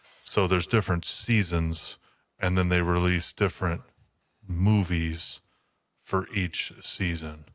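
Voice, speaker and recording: Low, flat-toned man, blunt and casual. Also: slow, no room sound, clean studio sound. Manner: blunt, matter-of-fact. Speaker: podcast co-host. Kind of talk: casual chat.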